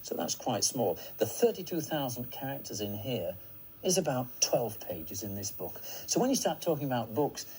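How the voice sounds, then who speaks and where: A man explaining and demonstrating computer equipment as an expert, measured and matter-of-fact, in an old 1980s television segment. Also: medium pitch, big pitch swings, darkish tone. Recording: noisy 1980s archive television clip.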